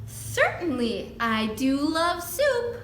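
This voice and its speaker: A woman voicing an agreeable, coy female goose from a storybook in a sweet, singsong, drawn-out way. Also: high-pitched, huge pitch swings, a bit bright, voice pushed up, heavily acted. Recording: roomy echo with background noise.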